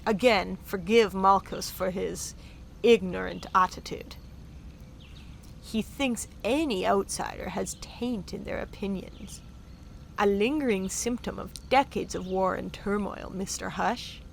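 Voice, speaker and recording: An actress playing a gracious, self-assured dignitary and scholarly guide in a sci-fi audio drama, with formal, courtly phrasing. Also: female voice, medium pitch, slow, gravelly. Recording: faint background noise.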